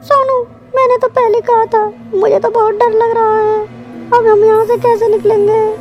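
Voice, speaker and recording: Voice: very high-pitched, level tone, unhurried, lo-fi sound, faint music behind, voice pushed up. A performer voicing a frightened young girl in a horror story, panicky and pleading. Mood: scared, uneasy.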